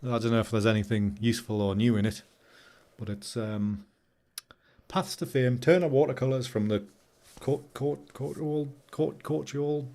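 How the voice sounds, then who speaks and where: A man's voice: medium pitch, fairly even intonation, moderate pace, warm tone. A man hosting a live stream, chatting casually and rambling while he edits photos, often laughing and answering comments from chat. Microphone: new studio microphone.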